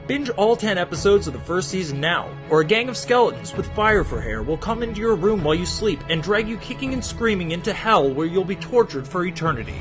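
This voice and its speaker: Guy reading a comedy trailer, slightly high voice, mock dramatic, music behind. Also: very animated, fairly quick, few pauses. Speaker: trailer announcer. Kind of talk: scripted comic promo narration.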